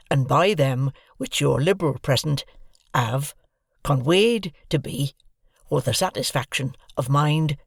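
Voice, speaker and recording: A reader voicing a simple, kindly village blacksmith who talks in a halting, rustic way, in an audiobook. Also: medium pitch, no echo, clean studio sound. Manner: rustic, halting, earnest speech. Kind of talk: audiobook character voice.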